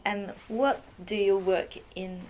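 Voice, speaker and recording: A woman's voice: medium pitch, fairly even intonation, slow, a little mellow, a little hiss or hum, poor audio. A woman interviewing, asking her questions hesitantly with fillers. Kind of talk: oral history interview.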